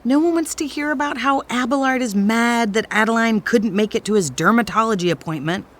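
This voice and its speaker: A woman's voice: fairly high pitch, fairly quick, close to an everyday voice. A woman playing a florid, dramatic romance novelist wounded by divorce, in an audio comedy drama. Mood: downcast, self-pitying. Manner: theatrical, florid.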